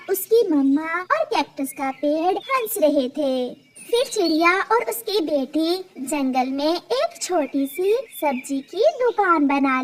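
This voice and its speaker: A woman reads a scripted children's tale. The pitch is extremely high, almost childlike. The delivery is slow, as a storyteller's.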